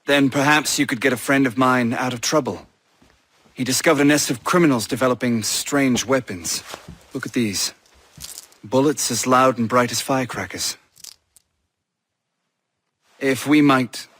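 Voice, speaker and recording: Courteous, formal army colonel. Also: male voice, mid-range voice, slightly rough. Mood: concerned, urgent. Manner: formal, measured, gentlemanly. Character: courteous, high-minded male colonial-era army colonel. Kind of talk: dramatic game cutscene dialogue.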